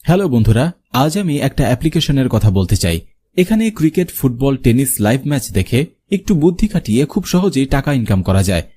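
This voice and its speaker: A man's voice: mid-range voice, big pitch swings, fast, warm tone. A man delivering scripted narration as a storyteller and presenter.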